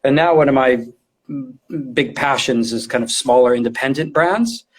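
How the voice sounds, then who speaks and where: A man's voice: medium pitch, normal speed. Middle-aged man explaining informally, repeating himself. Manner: informal, explanatory, repeats words while thinking. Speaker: guest, retail design and visual merchandising specialist. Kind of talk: conversational interview explanation.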